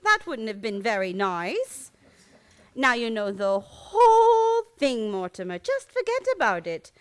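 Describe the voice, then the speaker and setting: A sweet, prim elderly aunt played by a woman in a radio comedy. Her voice sits very high and swings widely in melody. She scolds gently and treats dreadful things as ordinary household matters. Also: very animated, a little slow, clean tone. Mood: prim, dismissive. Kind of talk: stage comedy radio play acting.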